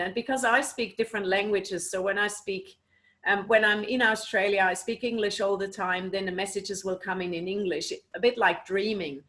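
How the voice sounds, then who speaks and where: Guest woman from Switzerland, earnest explainer, mid pitch, video call audio. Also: a bit bright. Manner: earnest, explanatory, many "you know"s. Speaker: animal communicator, guest. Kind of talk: casual interview answers.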